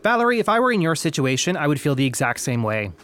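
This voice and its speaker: A man's voice: fairly high pitch, very animated, fast talker, a bit bright. An actor playing a polite male customer service representative in an audio drama, placating with rehearsed sincerity. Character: smooth, placating young male phone company representative.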